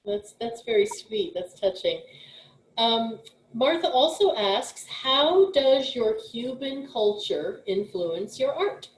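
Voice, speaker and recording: A woman's voice: on the high side, big pitch swings, slow pace. Echoey-sounding woman hosting a talk. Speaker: host, museum curator. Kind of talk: moderating an online talk, relaying audience questions.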